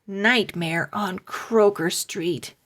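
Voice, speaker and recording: A woman voicing an excited, playful little boy. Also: mid-range voice, extremely slow.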